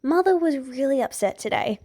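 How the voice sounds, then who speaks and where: A wild, proud princess of thirteen, played by a woman reading aloud, pitched high and girlish. She is defiant and quick to take offence in a fantasy audiobook. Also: lively intonation, warm tone. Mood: glum, earnest. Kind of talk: fan fiction audiobook character voice.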